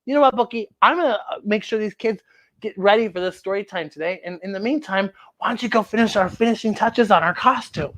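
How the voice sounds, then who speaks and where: A woman hosting a children's story time, excitable and playful, with exaggerated expressive storytelling. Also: medium pitch, fast.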